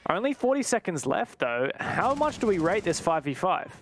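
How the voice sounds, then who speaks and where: A man working as a play-by-play caster, commentating live on an esports match with fluent analysis that can rise into excited calls. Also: high voice, normal speed, a little hiss or hum.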